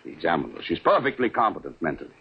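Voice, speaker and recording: A henpecked, distraught doctor of middle age in a vintage radio drama. His husky voice rises and falls widely as he speaks in halting, formal phrases. The old recording is dull and muffled. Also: sits low, big pitch swings, exaggerated voice. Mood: troubled, earnest. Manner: agitated, halting, formal, foreign-sounding word order. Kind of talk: 1940s radio drama acting.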